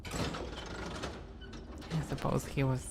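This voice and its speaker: A woman with a Russian accent, musing softly to herself while giving casual commentary on her game stream. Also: very slow, background noise. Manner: soft-spoken, musing to herself.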